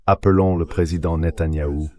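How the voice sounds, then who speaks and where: Low-voiced synthetic male commentator. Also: fairly even intonation, brisk, a little mellow. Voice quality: AI-generated voice reading a translation. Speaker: political commentator interviewed as a guest. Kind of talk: translated voice-over of interview commentary.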